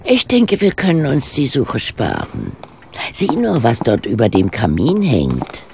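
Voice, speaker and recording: An actor playing a composed, dryly witty male amateur sleuth in a German detective radio play, with a measured, slightly formal delivery. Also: medium pitch, wildly swooping pitch, gravelly, heavy background noise, full character voice. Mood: composed, deductive.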